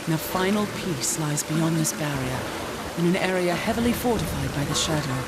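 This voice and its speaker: A woman's voice: low voice, expressive, normal speed, very noisy. A woman playing a proud, weary angel warrior commander in a video game, speaking in a solemn, formal, resolute way. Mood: solemn, wary. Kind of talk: dramatic video game cutscene acting. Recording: loud music behind the voice.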